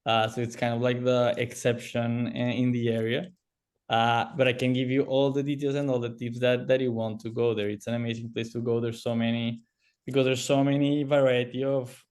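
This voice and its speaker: Guest, Colombian-accented man in his 30s, monotone delivery, careful with fillers. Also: mid-range voice. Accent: Colombian Spanish. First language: Spanish. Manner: flat, careful, with many uh fillers. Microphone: video call.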